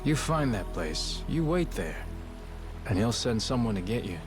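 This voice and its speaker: Blunt underworld guy, animated pitch. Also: unhurried, music in the background. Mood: matter-of-fact. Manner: blunt, colloquial. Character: blunt underworld man giving directions.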